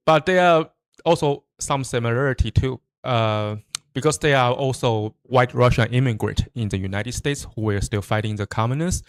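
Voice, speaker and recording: A man's voice: lowish voice, no room sound, studio quality. Man giving a lecture. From Taiwan. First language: Mandarin. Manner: frequent restarts and fillers, explains step by step. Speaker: presenter, high school teacher. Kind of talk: lecture presentation.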